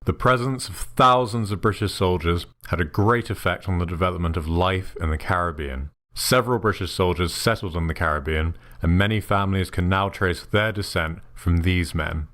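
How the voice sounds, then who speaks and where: A man giving measured, formal scripted narration for a documentary. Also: deep voice, fairly bright tone.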